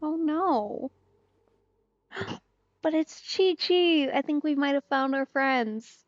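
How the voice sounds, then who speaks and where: Unhurried, high-voiced woman gaming. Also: expressive, dry recording. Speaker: streamer, let's play host. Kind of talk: casual gameplay commentary. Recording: slightly dull, dry close recording.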